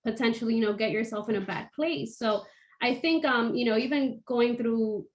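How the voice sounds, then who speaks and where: A woman's voice: on the high side, normal speed. A young woman from the Dominican Republic, a panelist on a video call, telling her own story in a candid, conversational way.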